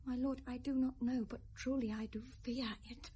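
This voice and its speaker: A fragile, distressed young noblewoman, high-pitched and tremulous, with a British accent. She speaks in classical Shakespearean radio-drama style, frightened and dutiful. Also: level tone, normal speed, mellow, breathy edge. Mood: frightened, hushed.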